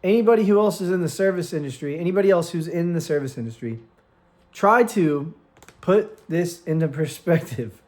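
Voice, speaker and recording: An American man in his late twenties speaks in a slightly raised register, close to a microphone in his own room. His talk is earnest and meandering, full of restarts and trailing pauses, like an unscripted vlog. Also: fairly high pitch, lively intonation, darkish tone. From United States. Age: 20s. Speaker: vlogger and streamer. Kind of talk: casual reflective vlog. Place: room at home with lights, plants and a cat on his lap. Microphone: studio microphone.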